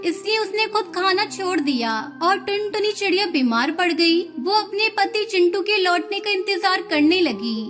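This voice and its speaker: A woman narrates a children's cartoon tale from a script. Her voice is extremely high, and loud music runs underneath.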